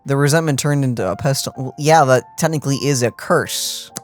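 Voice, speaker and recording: A man's voice: medium pitch, a little fast, faint music behind. A man co-hosting a game stream with casual reaction commentary. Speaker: streamer co-host.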